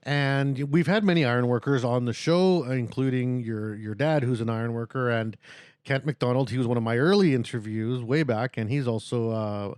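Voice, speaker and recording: A man with a smooth, resonant voice hosts a podcast interview in a loose, rambling way. The sound is dry and close, with lots of fillers and chuckles. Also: medium pitch, normal speed, a little mellow, no echo. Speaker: podcast host. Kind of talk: casual interview hosting.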